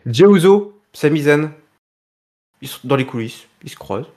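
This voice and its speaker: A man's voice: mid-range voice, normal speed. Jokey man recapping wrestling casually. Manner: jokey, mocking, repeats phrases for effect. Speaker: podcast host recapping wrestling shows.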